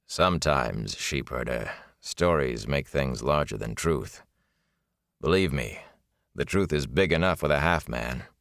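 A man voicing a stern, grim warrior bodyguard who speaks quietly and gruffly. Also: very deep voice, lively intonation, measured pace, dry recording, clean studio sound, voice pushed down, heavily acted.

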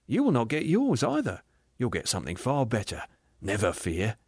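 A man voicing a joyful, forgiving young spirit in a dramatised audiobook, gentle and amused as he coaxes. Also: mid-range voice, huge pitch swings, moderate pace, breathy edge, dry recording, studio quality, exaggerated voice. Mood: cheerful, reassuring. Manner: gentle, amused, lightly coaxing. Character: joyful, forgiving young male heavenly spirit, once a murderer.

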